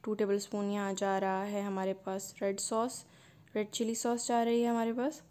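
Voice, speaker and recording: A woman, hosting a cooking channel and calmly narrating a recipe step by step. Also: mid-range voice, moderate pace, clear, resonant tone. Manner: calm step-by-step instruction.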